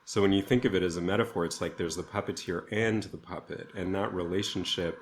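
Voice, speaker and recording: Low-pitched thoughtful man in conversation. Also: steady tone, a little fast, slight background noise. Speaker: interview guest, theatre artist. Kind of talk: reflective interview conversation.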